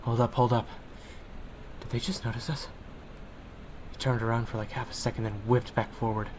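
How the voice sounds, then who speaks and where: A young man in an audio roleplay, talking in low, sardonic murmured asides. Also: level tone, normal speed, noisy background. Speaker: roleplay voice actor playing assassin roommate.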